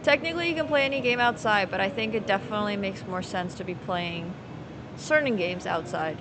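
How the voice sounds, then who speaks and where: Woman chatting over clear rumbling noise. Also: a little fast. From Finland. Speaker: live streamer. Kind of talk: casual chat while playing poker, answering viewers.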